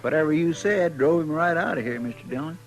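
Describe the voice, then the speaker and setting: A simple-hearted frontier sidekick with a slightly high, gravelly voice. He is meek and deferential, talking haltingly in folksy phrases, in 1950s radio western style. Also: expressive, music in the background. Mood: deferential, sheepish. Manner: hesitant, meek, drawn-out folksy talk. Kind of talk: 1950s radio drama acting.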